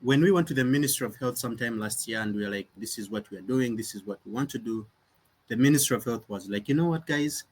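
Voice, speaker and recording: A man's voice: medium pitch, fast. Earnest young man, founder. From Uganda. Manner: earnest, reflective, with frequent restarts. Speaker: nonprofit founder, guest. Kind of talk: conversational interview.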